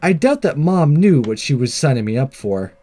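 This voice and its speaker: A man's voice: mid-range voice, very animated, normal speed, mellow. A man hosting a gaming video, reading a visual novel aloud and chatting casually to viewers. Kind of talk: narrated let's play, scripted reading and casual sign-off.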